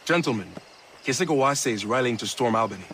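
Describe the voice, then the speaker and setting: A man's voice: medium pitch, expressive, fast talker, fairly bright tone, slight background noise, natural voice. An actor playing an urgent military man who briefs comrades formally in a video game drama.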